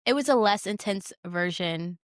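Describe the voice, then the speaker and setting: A woman's voice: medium pitch, animated, moderate pace, no room sound, clean studio sound. A young woman co-hosting a podcast, chatting casually and effusively, stressing and repeating her words. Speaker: podcast co-host. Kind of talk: casual chat about films.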